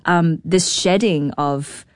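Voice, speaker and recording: A New Zealand woman in her thirties, a conductor talking in an interview, earnest and searching, often thinking out loud in questions. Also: lowish voice, slow, no echo, clean studio sound. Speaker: guest, orchestra conductor. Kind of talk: reflective interview conversation.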